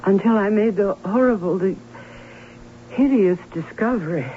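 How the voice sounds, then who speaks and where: An actress playing a fearful, anxious aunt in an old radio drama, speaking in a halting, dramatic way. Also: female voice, medium pitch, lightly acted. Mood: troubled confession. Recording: muffled, hissy old radio broadcast.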